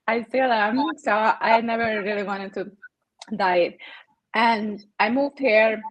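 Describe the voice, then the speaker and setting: A woman's voice: on the high side, takes it slowly, no echo, poor audio. Hungarian-accented woman telling her story. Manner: animated, storytelling with fillers. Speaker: podcast guest. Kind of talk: interview storytelling.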